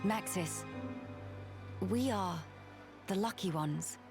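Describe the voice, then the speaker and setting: An actress playing a composed, stern noblewoman in a video game cutscene, speaking in a formal, measured, authoritative way. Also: medium pitch, music in the background. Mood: earnest, urging. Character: composed, stern noblewoman urging a veteran to act.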